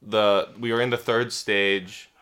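A man co-hosting a comedic health podcast, stuffy from a cold, making enthusiastic deadpan claims. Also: fairly low pitch, slow pace, clean tone. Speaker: podcast co-host.

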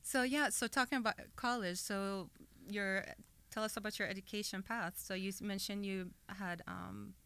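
Woman interviewer, clean smooth voice, friendly questions and quick "oh nice" replies. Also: mid-range voice, fairly even intonation, bright, forceful tone. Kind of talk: casual interview chat.

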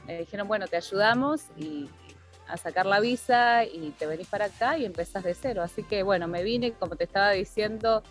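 A woman in her forties with an Argentine accent, telling her own story as an interview guest, often pausing to search for words. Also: mid-range voice. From Bahía Blanca, Argentina. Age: 40s. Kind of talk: personal storytelling in a casual interview. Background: music.

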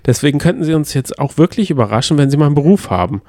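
A man's voice: fairly high pitch, lively intonation, racing, darkish tone, no echo, studio quality. A man co-hosting a podcast, chatting casually about reality TV in an opinionated, mildly sarcastic way. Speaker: podcast co-host. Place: hotel room.

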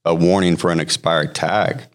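Deep-voiced man in his thirties, podcast guest sharing his testimony; loose and halting, lots of fillers. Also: steady tone. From Hawaii. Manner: halting, frequent fillers and restarts. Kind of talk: personal testimony, conversational storytelling.

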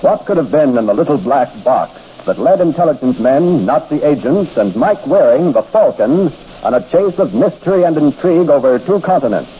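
A man's voice: on the high side, sing-song, normal speed, warm tone, husky. A man reading scripted announcements as a formal, dramatic 1940s radio network announcer. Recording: dull old radio broadcast.

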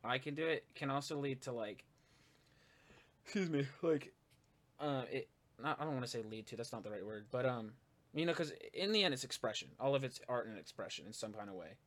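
A man's voice: medium pitch, lively intonation, brisk, fairly bright tone. Chatty man hosting an interview. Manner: chatty, filler-heavy, supportive. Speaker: interviewer, YouTube channel host. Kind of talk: casual interview chat.

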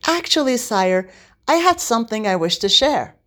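A woman's voice: a little high, sing-song, moderate pace, fairly bright tone, voice pushed up. A woman voicing a sly, playful male knight in a story, with a mischievous, mock-formal courtesy.

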